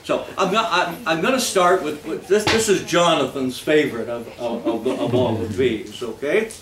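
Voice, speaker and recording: A man presenting informally and jokily to a live audience, halting and often repeating his words. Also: fairly high pitch, distant mic, a crowd in the background. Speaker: host of a live holiday readers theater. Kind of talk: informal live stage presentation with comic readings. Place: live before an audience in an echoey room. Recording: echoey, noisy hall recorded from afar.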